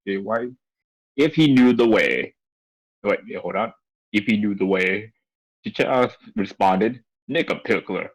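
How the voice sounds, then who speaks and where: A man giving a casual comedic reading on a livestream, halting and stumbling over words. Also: lowish voice, rough recording. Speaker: co-reader on a livestream.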